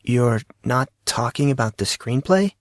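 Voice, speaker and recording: A man's voice: mid-range voice, expressive, measured pace, dry recording, clean studio sound, lightly acted. A man voicing an awkward, apologetic fourteen-year-old schoolboy who is easily flustered, in an audio story. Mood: bewildered. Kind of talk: audio drama character dialogue.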